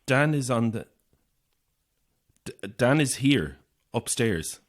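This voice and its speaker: A man's voice: mid-range voice, sing-song, slow. An actor playing an anxious, isolated young Irish man, edgy and sardonic, in a naturalistic audio horror drama. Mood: defensive, flustered.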